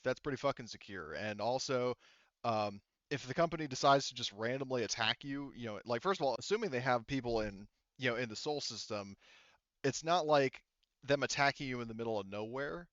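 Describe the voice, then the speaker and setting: A man with a clear, smooth voice works as game master in a casual tabletop session. He rambles and thinks out loud, swearing casually. The recording is close and dry, slightly dull. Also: mid-range voice, no room sound.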